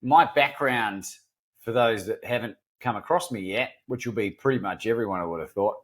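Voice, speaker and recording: A man's voice: on the low side, normal speed. A middle-aged man with a mixed New Zealand and Australian accent, telling his life story as a podcast guest in an earnest, self-deprecating way with frequent restarts. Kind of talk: candid storytelling interview.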